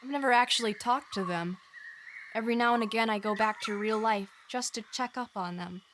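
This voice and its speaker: Audio drama role, actress as a cheeky, defiant boy adventurer: high, bright voice, blunt and childlike. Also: brisk, a little hiss or hum, lightly acted. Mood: wistful. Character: playful, defiant young boy adventurer who can fly.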